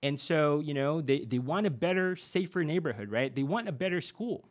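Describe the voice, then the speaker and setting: A man's voice: a little high, moderate pace. A young adult man, a podcast guest and marketing expert, explaining in a conversational way with frequent fillers and a repeated "right?". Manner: animated, many fillers and tag "right?".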